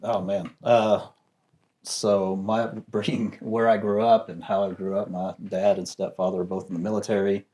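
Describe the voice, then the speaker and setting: A man speaking as a guest in a discussion, reflective and soft-spoken, with many pauses and fillers. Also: fairly low pitch, flat delivery. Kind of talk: casual discussion.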